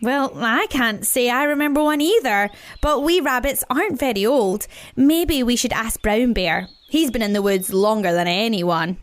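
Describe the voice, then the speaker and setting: A woman's voice: on the high side, normal speed, close to an everyday voice. Woman as a sensible young sister rabbit. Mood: thoughtful. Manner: thoughtful, reasoning.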